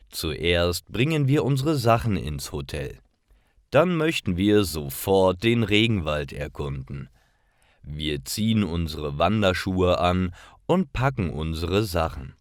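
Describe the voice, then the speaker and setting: A man narrating simple scripted stories for language learners, reading carefully in clearly phrased short sentences. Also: deep voice, dry recording, studio quality.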